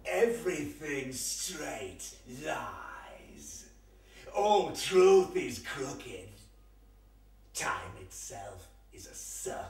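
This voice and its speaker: A man voicing a cryptic, mocking male dwarf spirit, with a drawn-out, whispery delivery. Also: on the high side, very animated, a little mellow, full character voice. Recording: echoey and distant from the mic.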